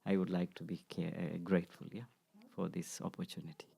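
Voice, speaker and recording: A man in his sixties answering interview questions in a measured, reflective way, as a practitioner and teacher. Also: low voice, level tone, creaky, warm tone, husky. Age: 60s. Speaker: guest, acupuncture practitioner and teacher.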